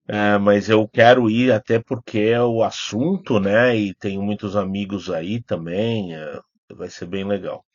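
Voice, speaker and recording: A man with a fairly low voice and a Brazilian accent talks as if to his channel's followers, loosely and without a script. He wanders through his sentences, hesitating and correcting himself as he goes. The sound is somewhat dull and lo-fi. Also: no room sound. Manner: rambling, hesitant, self-correcting. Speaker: YouTube host, film critic. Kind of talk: casual unscripted vlog talk, answering comments.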